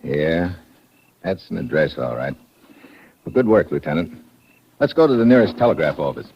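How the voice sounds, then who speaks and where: Savvy American investigator, low lively voice, informal, muffled vintage radio drama. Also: male voice, moderate pace, slight background noise, exaggerated voice. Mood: businesslike. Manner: informal, clipped, tough-guy. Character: gruff, savvy American secret agent investigator. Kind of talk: old-time radio drama acting.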